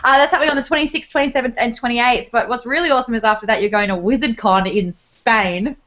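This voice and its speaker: Woman host, kinda high voice, dull lo-fi audio, easygoing. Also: fast talker, fairly bright tone. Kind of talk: casual interview chat.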